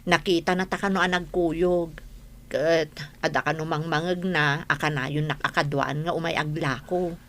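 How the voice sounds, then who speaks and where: A woman's voice: sits low, fairly even intonation, moderate pace, a bit bright. A woman playing a beleaguered married mother who sells food in a village, in Filipino-accented radio drama. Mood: modest, hesitant.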